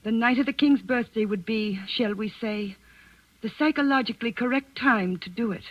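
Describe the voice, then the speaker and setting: A cool, aristocratic countess, acted by a woman in a vintage radio play. She speaks with measured courtesy and dry, knowing irony, heard on a slightly dull old broadcast recording. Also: fairly high pitch, natural voice. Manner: measured, courteous, dryly ironic. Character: poised, cool, shrewd Danish countess. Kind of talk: 1940s radio drama acting.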